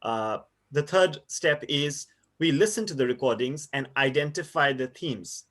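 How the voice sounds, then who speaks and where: A man from India in his thirties delivers an academic conference talk at a middle pitch. He explains step by step in a measured way, with frequent pauses and fillers. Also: lively intonation, fairly bright tone. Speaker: university lecturer presenting research. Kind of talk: conference presentation.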